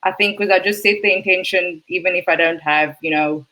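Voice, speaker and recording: A woman from South Africa with a South African accent, a guest in a casual interview, telling her story in a chatty, lively way. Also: fairly low pitch, fairly quick, low-quality recording. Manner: chatty, animated storytelling with laughs.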